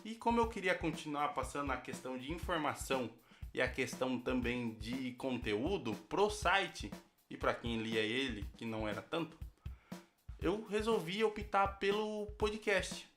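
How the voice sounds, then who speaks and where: A man's voice: medium pitch, sing-song, normal speed, fairly bright tone. A Brazilian man, a podcast host, giving a casual recorded testimonial as a guest. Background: music.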